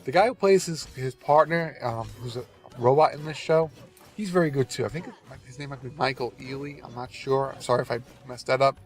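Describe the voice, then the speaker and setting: A man's voice: mid-range voice, fairly quick. A man with a cold, sounding nasal and congested, rambling casually and apologetically while he drives. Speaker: gaming video host. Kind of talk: casual rambling commentary over gameplay. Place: in a car while driving.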